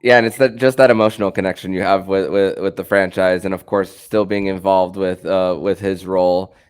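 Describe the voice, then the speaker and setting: A man with a low, smooth voice hosts a sports podcast. His delivery is halting, as he stumbles into sentences and doubles up on words. The tone stays clean and resonant. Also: fairly even intonation. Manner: halting, stammers and repeats words. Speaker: podcast host.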